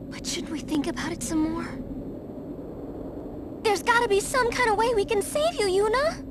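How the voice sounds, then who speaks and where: A woman's voice: extremely high pitch, animated, normal speed, background noise. An actress playing an emotional, stubbornly loyal teenage girl in a video game, pleading in informal speech. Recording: game dialogue under music.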